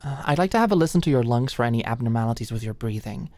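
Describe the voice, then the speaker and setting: A low-pitched young female voice, close and very dry, a woman acting as a nervous, awkward medical student in an ASMR roleplay. She is attentive but hesitant and easily flustered, tripping over her words. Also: expressive, racing, a little mellow, no room sound. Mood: careful, nervous. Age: young adult. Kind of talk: ASMR roleplay, intimate scripted one-sided dialogue. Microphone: close studio-style mic, dry.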